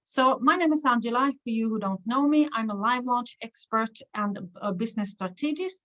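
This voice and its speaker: A Swedish woman speaks in a fairly high voice with the accent of her native tongue. She hosts a live stream in the manner of an online coach. She talks straight to her viewers, encouraging them and checking in as she goes. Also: a bit bright, rough recording. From Sweden. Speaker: online business coach and livestream host. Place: hotel room.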